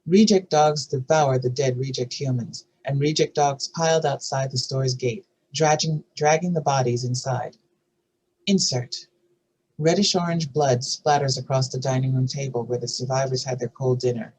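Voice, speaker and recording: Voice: mid-range voice, steady tone, moderate pace, darkish tone, slightly rough, rough recording. A narrator reading scene headings and action lines from a screenplay at a table read. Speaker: narrator reading stage directions.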